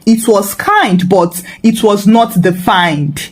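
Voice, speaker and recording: A woman with a Nigerian accent narrating a scripted folktale in an expressive, humorously dramatic way. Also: mid-range voice, takes it slowly, soft background music. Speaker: storyteller, narrator.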